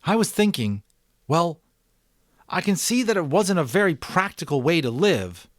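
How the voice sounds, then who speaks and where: Storybook role: man performing hesitant young boy, raised animated voice, sincere, tentative, groping for the right word. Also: a little high, no room sound, clean studio sound, voice pushed up. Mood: wistful, hesitant. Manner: halting, searching for words.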